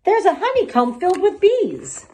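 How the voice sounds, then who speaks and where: A woman's voice: very high voice, huge pitch swings, normal speed, warm tone, pitched above the performer's own voice, full character voice. A woman voicing a curious, eager little granddaughter in a children's storybook, with a wide-eyed manner. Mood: excited.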